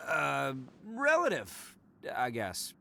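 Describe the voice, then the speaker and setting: A man's voice: medium pitch, slow, gravelly, slight background noise, exaggerated voice. An American-accented actor voicing a brash, cheerful young male oni hero in an English video game dub, cocky and boisterous. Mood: confident, earnest. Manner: cocky, boisterous, hesitant interjections when flattered.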